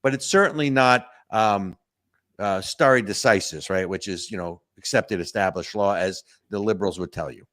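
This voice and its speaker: Low-voiced, rambling talk show host. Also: male voice, moderate pace, a bit bright, dry recording, clean studio sound. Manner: rambling, restarts a lot, fills with "right?" and "you know". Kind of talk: casual political talk show chat.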